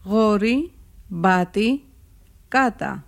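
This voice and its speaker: A woman's voice: mid-range voice, lively intonation, very slow, gravelly. A mother from Georgia reading a children's picture book aloud, recorded a little away from the reporter's microphone.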